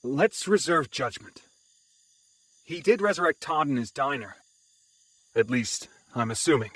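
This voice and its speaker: A man's voice: medium pitch, animated, fairly bright tone, dry recording. A man playing an earnest, polite synthezoid adventurer in a fantasy audio drama. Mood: reasoning. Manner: earnest, polite, measured reasoning.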